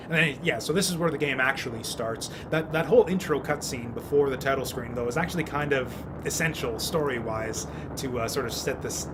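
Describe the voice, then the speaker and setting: A man giving casual, rambling video game commentary, often halting and repeating words as he goes. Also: mid-range voice. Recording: clear background noise.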